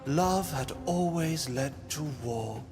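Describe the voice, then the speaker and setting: A man's voice: fairly high pitch, lively intonation, creaky, music in the background, full character voice. A man playing a wistful, theatrical storyteller, narrating a visual novel in a lyrical scripted style. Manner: lyrical, deliberate.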